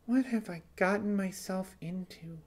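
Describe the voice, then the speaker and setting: A man voicing an earnest, excitable young female animal-like stowaway in an audiobook space story. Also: high-pitched, measured pace, pitched above the performer's own voice. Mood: overwhelmed.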